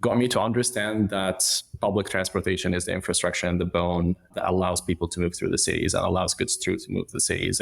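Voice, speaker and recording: A man from Egypt, a podcast guest giving thoughtful, measured answers in an interview. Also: deep voice, creaky.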